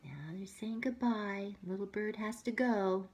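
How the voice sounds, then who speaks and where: A woman's voice: mid-range voice, husky. A woman teacher reading a children's picture book aloud expressively, stepping out of the story to talk to young listeners. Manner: expressive, pausing to ask listeners questions.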